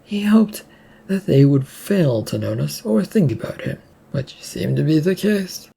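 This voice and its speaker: A narrator reading a scripted story aloud in a slightly halting, amateur way. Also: medium pitch, big pitch swings, gravelly, dark tone, breathy edge.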